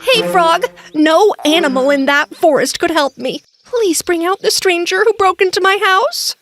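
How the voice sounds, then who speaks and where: A woman's voice: very high voice, animated, moderate pace, a bit bright, no echo, pitched above the performer's own voice. A woman voicing a small, cute female rabbit in a children's story, pleading and desperate. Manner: pleading, exclamatory.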